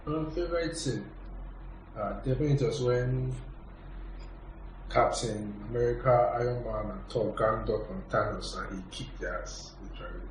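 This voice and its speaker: A man's voice: mid-range voice, normal speed. A man giving his opinions as a panelist in a casual review discussion. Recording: very echoey room, distant mic, faint noise.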